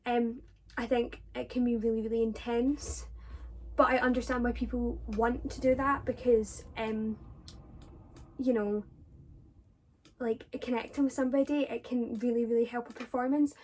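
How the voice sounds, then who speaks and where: A young woman with a Scottish accent, her voice sitting a little high. She talks casually through viewers' questions, rambling with many fillers and restarts. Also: little pitch movement, normal speed, slight background noise. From Scotland. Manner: chatty, with frequent fillers and self-corrections. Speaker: drama student vlogger.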